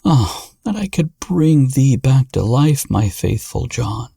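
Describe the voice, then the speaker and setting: A man's voice: medium pitch, very animated, takes it slowly, vocal fry, dark tone, husky, no echo, clean studio sound, full character voice. A man voicing a passionate, loyal young king in a fairy tale, speaking with emotional exclamations. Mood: anguished.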